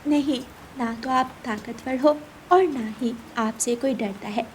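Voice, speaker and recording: A woman's voice: high voice, a little slow, a little hiss or hum. A woman voicing a brave, clever mother sparrow who speaks earnestly in a cartoon story. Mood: cleverly defiant.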